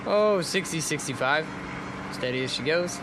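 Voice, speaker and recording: Breezy newlywed husband, road noise behind. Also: male voice, fairly high pitch, lively intonation. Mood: wry, reassuring. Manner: wry, joking, unhurried. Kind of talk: radio drama acting.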